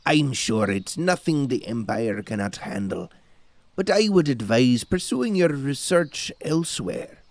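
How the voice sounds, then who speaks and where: A man's voice: medium pitch, lively intonation, a little slow, no room sound, natural voice. A man playing a formal, courteous but condescending gatekeeper in a fantasy audio drama, with mannered speech. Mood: cautioning.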